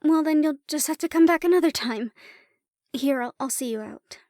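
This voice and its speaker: A woman playing a gracious, loyal young lady in a romantic fantasy audio roleplay, speaking in a polite, gentle way. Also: sits high, moderate pace, no room sound, studio quality, natural voice. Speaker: voice actor.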